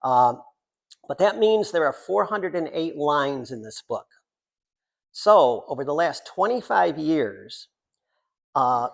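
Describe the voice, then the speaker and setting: Gravelly older American man, wryly self-deprecating. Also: a little high. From Central New York, United States. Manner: wry, self-deprecating humour. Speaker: poet reading from own book. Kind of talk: informal author talk at a poetry reading.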